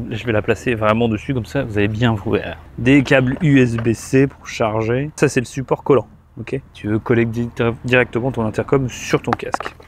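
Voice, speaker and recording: A man's voice: mid-range voice, slight background noise. A man giving a casual hands-on product tutorial, chatting informally to the viewer and thinking aloud as a gear reviewer.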